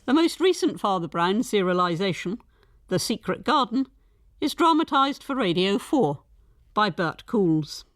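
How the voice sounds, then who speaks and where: A woman's voice: medium pitch, sing-song, a little slow, no echo, clean studio sound. A woman working as a radio presenter, moving between scripted narration and interview questions.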